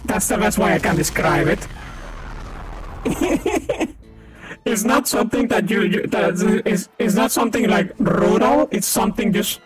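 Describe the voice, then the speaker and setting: A man with a high voice and a thick Venezuelan accent from Maracaibo chats and laughs through a co-op shooter stream. He is a native Spanish speaker who keeps calling out enemies. Loud game music plays behind him. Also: expressive, unhurried. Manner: chatty, laughs often, calls out enemies. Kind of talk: casual co-op gaming chat.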